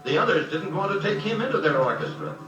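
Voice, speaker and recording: A man narrating a scripted children's story in a dramatic, deliberate way, on an old 78 rpm record. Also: sits high, expressive, echoey room, distant mic, highs cut off. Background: orchestral music.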